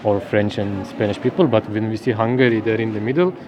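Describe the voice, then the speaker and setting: A man in his thirties from Turkey, speaking English with a Turkish accent as an interview guest, halting and searching for words. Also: lowish voice, muffled recording, background noise. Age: 30s. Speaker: linguist guest. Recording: outdoor crowd chatter behind.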